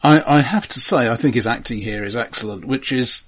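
A man discussing film casually, in a measured, analytical way with careful qualifications. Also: medium pitch, breathy edge. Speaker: film podcast host.

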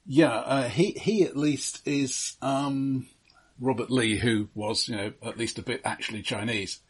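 A man's voice: medium pitch, steady tone, unhurried, breathy edge. A man co-hosting a casual film discussion, precise and explanatory with a dry wit. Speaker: film podcast co-host.

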